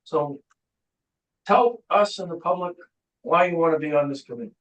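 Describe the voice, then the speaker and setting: A man's voice: a little high, normal speed, a bit bright. Hesitant man chairing, echoey distant room. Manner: hesitant, uses filler words. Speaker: committee chair. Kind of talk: chairing a meeting. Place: town boardroom.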